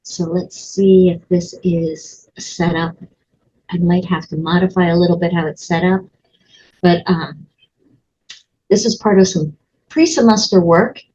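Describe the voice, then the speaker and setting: A woman's voice: fairly low pitch, steady tone, a little slow, pauses often, mellow, husky, muffled recording. A woman college instructor giving a casual walkthrough of her course, chatty and full of ums and restarts. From Connecticut, USA. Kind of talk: screen-recorded course walkthrough.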